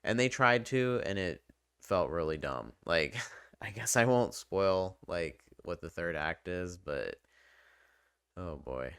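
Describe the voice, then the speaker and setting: A man's voice: sits low, animated, slow pace, fairly bright tone, clean tone, dry recording, clean studio sound. A man giving a casual, opinionated review in a rambling, conversational way, often hedging as he goes. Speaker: video reviewer.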